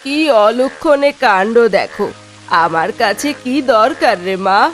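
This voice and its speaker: A woman's voice: a little high, animated, unhurried, faint music behind. A woman voicing a kindly old village granny in a cartoon, speaking in a motherly, concerned, homely way. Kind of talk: Bengali fairy-tale cartoon voice acting.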